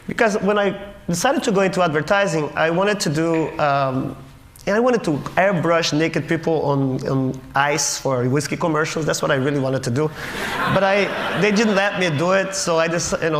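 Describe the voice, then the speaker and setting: A middle-aged man from Brazil, giving a humorous conference talk in a wry, self-mocking way with many halting restarts. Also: a little high, fairly bright tone. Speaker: conference speaker. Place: conference auditorium stage. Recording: roomy hall with faint noise.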